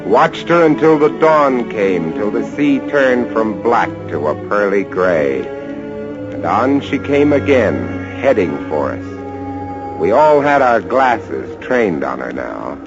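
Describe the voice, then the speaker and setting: A man's voice: fairly low pitch, slow pace, music in the background. A man acting a frightened lighthouse keeper who narrates a sea horror tale in an intense, suspenseful old radio style. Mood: grave, awed. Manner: intense, suspenseful, swinging from hushed to excited. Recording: muffled, hissy old radio drama recording.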